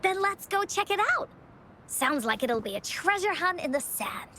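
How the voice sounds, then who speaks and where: A woman voicing an excitable, plucky little girl adventurer in an anime video game, playful and theatrical. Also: very high voice, normal speed, soft background music, full character voice. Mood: excited, cheerful. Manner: playful, theatrical, refers to herself by name.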